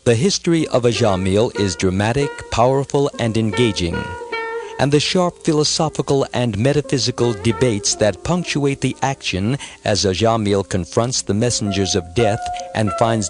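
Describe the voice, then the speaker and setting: A man reading scripted narration as an audiobook narrator. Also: mid-range voice. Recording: music behind the voice. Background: music.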